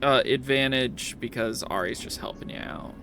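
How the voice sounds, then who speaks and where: A man acting as game master, narrating casually and haltingly, often repeating phrases as he goes. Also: mid-range voice, gravelly. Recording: faint background noise.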